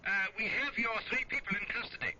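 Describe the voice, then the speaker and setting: An actor playing a polite police inspector heard through a telephone filter in a radio drama. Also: male voice, extremely high pitch, animated, fast talker. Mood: polite, official. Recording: distant tinny phone in dull radio recording.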